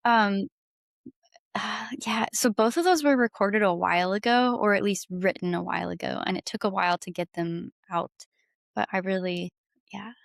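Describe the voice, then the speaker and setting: A woman's voice: medium pitch, normal speed, vocal fry, no room sound. Enthusiastic woman chatting casually, very dry sound. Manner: enthusiastic, informal. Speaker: podcast guest, singer-songwriter. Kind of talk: casual chat.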